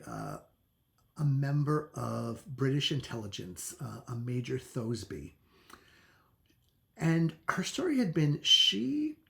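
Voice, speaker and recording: This is a man with a noticeably creaky, gravelly voice at an ordinary middle pitch. He talks as a book reviewer would in a casual, unscripted chat. His delivery is halting and conversational, and he often backs up to reword himself. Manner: halting, self-correcting, conversational.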